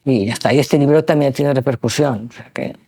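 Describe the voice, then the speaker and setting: Mellow-voiced Spanish woman from Barcelona, musing. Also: a bass voice, fast talker, breathy edge. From Barcelona, Spain. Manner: discursive, self-correcting, frequent "¿no?" tags. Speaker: author interviewee. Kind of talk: rambling conversational interview answers. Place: lecture room at a university event.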